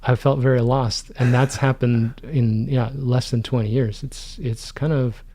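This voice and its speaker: A man's voice: mid-range voice, flat delivery, unhurried, dark tone. A man in his forties, co-hosting a podcast, chatting casually about films and thinking aloud with lots of fillers. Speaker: podcast co-host. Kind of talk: casual film-discussion chat.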